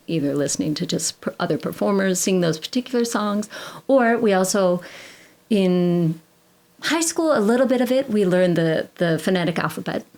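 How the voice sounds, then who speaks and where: Brief: female classical singer, podcast guest; lowish, gravelly voice; chatty, rambling, self-correcting. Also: a little mellow. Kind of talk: casual conversational interview.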